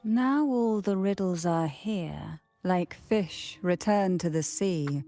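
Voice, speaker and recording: An actress playing a composed, enigmatic woman who speaks in a measured, poetic way in a video game. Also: lowish voice, dark tone, dry recording. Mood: calm, mysterious. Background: game music.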